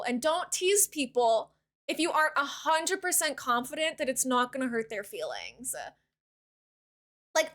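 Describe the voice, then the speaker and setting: A woman in her thirties with a very high, bright, ringing voice talks casually to her live-stream chat. She rambles and fills her sentences with "like", and she warmly thanks new followers. Her tone is open and conversational. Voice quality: high-pitched voice. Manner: chatty, rambling, many "like" fillers. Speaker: Twitch streamer.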